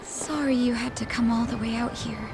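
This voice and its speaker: An actress in an English game dub playing a frail, anxious, guilt-ridden woman, meek, breathy and hesitant. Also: a little high, steady tone, moderate pace, natural voice. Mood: weary. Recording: loud ambient noise over the voice. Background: loud ambient noise.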